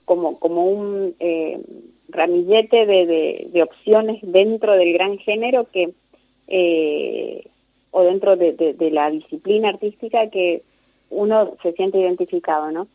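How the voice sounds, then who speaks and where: A fifty-year-old woman with an Argentine Spanish accent, answering interview questions reflectively in measured, conversational phrases. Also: lowish voice, no room sound. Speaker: interview guest, singer and singing teacher. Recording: narrow tinny phone-like line.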